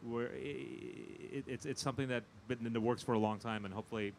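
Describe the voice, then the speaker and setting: A man being interviewed as a film producer, chatting casually and haltingly, often repeating his words. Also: mid-range voice, fairly even intonation, creaky, slight background noise.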